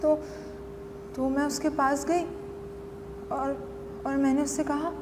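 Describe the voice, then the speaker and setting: Casting: an unhinged battered wife confessing in a dramatic monologue; very high voice, slow halting delivery, repetitive and pleading. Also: female voice, little pitch movement. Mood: meek, pleading. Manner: halting, repeats phrases, pleading. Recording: long echoing hall reverb, faint noise.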